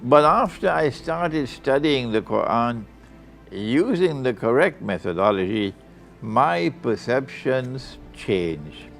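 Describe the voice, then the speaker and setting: A male Islamic scholar giving a lecture, reading a student's letter aloud in an unhurried, deliberate way with occasional soft laughs. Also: mid-range voice, big pitch swings, creaky, faint music behind.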